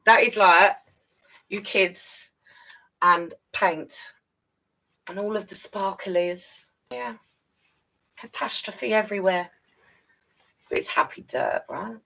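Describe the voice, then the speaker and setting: A woman's voice: mid-range voice, steady tone, takes it slowly, gravelly, pauses often, sharp tone, low-quality recording. A woman reading a children's picture book aloud expressively, with chatty asides straight to young listeners. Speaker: children's storyteller.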